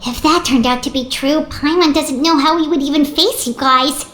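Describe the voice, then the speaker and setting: A woman voicing a tiny excitable fairy companion who talks about herself in the third person, with a high, squeaky, childlike voice. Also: moderate pace, a little hiss or hum. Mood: worried. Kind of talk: game cutscene dialogue. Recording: roomy game mix.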